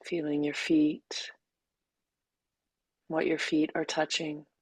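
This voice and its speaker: A woman teacher leading a guided meditation in a gentle, unhurried way, leaving long pauses between short phrases. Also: sits low, monotone, slightly rough, dry recording, low-quality recording.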